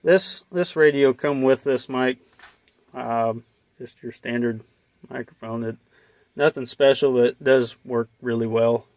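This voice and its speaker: A man's voice: medium pitch, measured pace, darkish tone, dry recording. An American man giving a casual hands-on tutorial in a folksy, plain-spoken way. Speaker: vlogger and trucker demonstrating gear. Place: inside an old truck cab, later outdoors by it.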